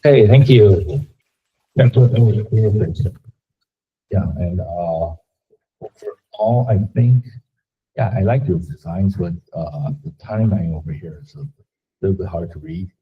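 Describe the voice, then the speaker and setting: A man's voice: sits low, unhurried, long pauses. A man, a class instructor, giving casual, hedging feedback on student work with lots of fillers. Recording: tinny phone-like sound.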